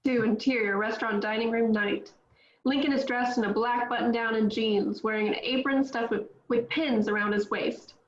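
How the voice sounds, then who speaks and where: A woman reading stage directions in a steady, matter-of-fact way at a table read. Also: a little high, normal speed. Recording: echoey, dull, faint noise.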